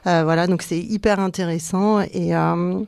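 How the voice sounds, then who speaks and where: A woman's voice: fairly low pitch, steady tone, normal speed, vocal fry, darkish tone, no room sound, clean studio sound. A woman in her forties, a film-review guest, talking enthusiastically with many hesitations. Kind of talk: casual chat.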